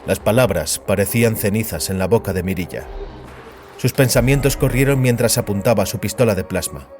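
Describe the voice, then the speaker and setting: A man narrates dramatic fiction as an audiobook reader. His voice is fairly low, set over background music. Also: a little fast, darkish tone.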